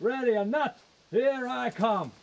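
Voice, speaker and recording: A man playing a sergeant in a shrill put-on voice, taunting and drawn out. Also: a little slow, creaky, slight background noise, full character voice. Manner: squealing, taunting.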